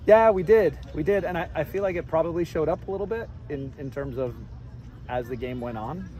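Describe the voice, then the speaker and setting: A middle-aged man, a head soccer coach, answering reporters after a game outdoors at night, measured and repeating words as he thinks. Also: a little high, warm tone, slight background noise. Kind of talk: postgame press interview. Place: outdoors by a stadium track at night.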